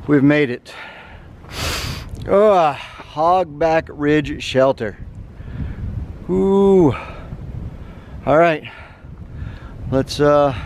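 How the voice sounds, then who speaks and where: A man's voice: on the high side, slight background noise. A man, chatting casually to the camera on a mountain trail. He talks in an easygoing, folksy way and sounds tired. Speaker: thru-hiker vlogger. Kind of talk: casual trail vlog narration. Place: outdoors on a wooded mountain trail. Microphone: handheld camera at arm's length.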